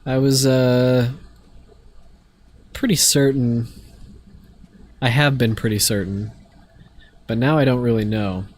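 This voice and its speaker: A man's voice: mid-range voice, takes it slowly, pauses often. A young man chatting casually to viewers while playing a game, a bit stuffy from allergies, rambling with lots of fillers. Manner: relaxed, rambling, many fillers. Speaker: streamer. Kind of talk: casual live-stream chat while gaming.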